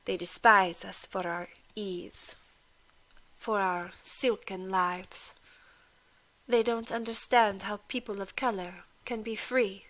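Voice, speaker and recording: Woman reading dignified young woman, soft and slow, bright tone. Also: medium pitch, fairly even intonation, poor audio. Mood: somber. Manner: quiet, restrained, soft-spoken. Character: proud, composed young woman, guarded and dignified.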